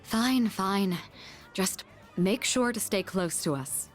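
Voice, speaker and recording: A woman playing a refined, patient young woman in a video game, composed, articulate and gently formal. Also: mid-range voice, takes it slowly, soft background music, natural voice. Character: poised, refined young woman, a patient elder-sister guide.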